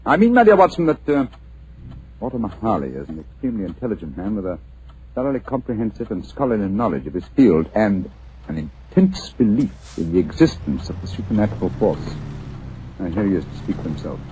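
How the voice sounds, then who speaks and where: An actor playing a brisk, self-assured British gentleman detective in an old-time radio drama, with a precise, wry, formal manner. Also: on the low side, sing-song, slight background noise, poor audio. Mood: erudite, composed.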